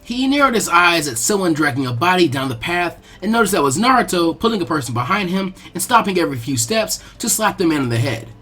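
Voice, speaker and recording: Brisk, animated man narrating a story. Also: high-pitched, breathy edge, faint music behind. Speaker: fan-fiction narrator.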